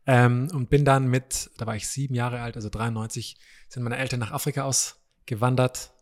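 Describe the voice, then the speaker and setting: A man's voice: mid-range voice, fairly even intonation, normal speed. A man in his thirties, originally from Austria, talks as a guest in a casual podcast interview. He is friendly and easygoing, often dropping short words of agreement. The chat was recorded in a youth camp dining hall. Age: 30s. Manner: friendly, affirms often with "genau".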